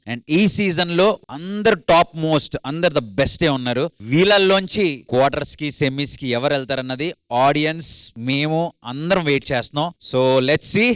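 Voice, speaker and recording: A man with a Telugu Indian accent speaking as a dance show judge, deliberate and mixing in English phrases. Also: mid-range voice, fairly bright tone, smooth, no room sound. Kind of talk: explaining competition rules on stage.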